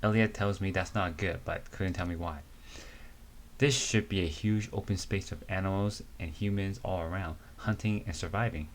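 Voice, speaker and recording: A man's voice: deep voice, fairly even intonation, normal speed, slight background noise, natural voice. A man reading as a young wilderness woman. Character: young woman survivalist from the wilds. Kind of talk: amateur dramatic reading of a comic.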